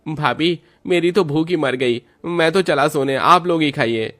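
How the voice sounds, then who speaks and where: A plaintive young man of a cartoon household, acted by a man. The voice sits raised and high, hungry and put-upon, full of complaint. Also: unhurried, a bit bright. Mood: sleepy, resigned. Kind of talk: cartoon family drama acting.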